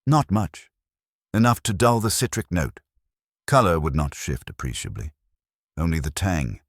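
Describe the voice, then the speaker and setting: A man voicing a calm, precise spice merchant in a detective story, measured and exacting. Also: low voice, no room sound, clean studio sound, heavily acted.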